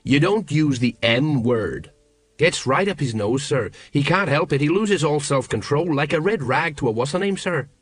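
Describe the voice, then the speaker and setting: A man's voice: on the high side, big pitch swings, normal speed, a bit bright, no room sound. A man voicing an anxious, deferential middle-aged sergeant, hesitant and flustered. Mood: urgent, concerned.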